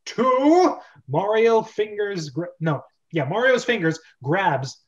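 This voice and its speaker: A man narrating a script read-through, casually reading stage directions in a halting way and correcting his misreadings. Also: high voice, expressive, dull audio.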